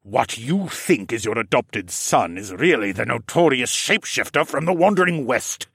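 A man putting on a bellowing reptile regent, slow and menacing. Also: mid-range voice, very animated, vocal fry, fairly bright tone, husky, no room sound, clean studio sound, full character voice. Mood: scheming. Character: hulking reptilian male regent, furious, half-blind.

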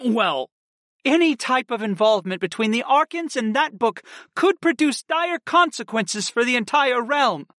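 A narrator voicing a stern, secretive aunt who speaks sharply and impatiently. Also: female voice, medium pitch, lively intonation, measured pace, a bit bright, no echo, studio quality, voice pushed up. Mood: insistent.

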